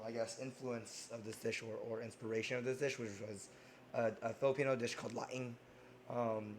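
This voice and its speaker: A man with a hoarse voice hosting a casual cooking stream, chatting loosely with lots of ums and restarts. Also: medium pitch, slight background noise. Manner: rambling, many ums and restarts.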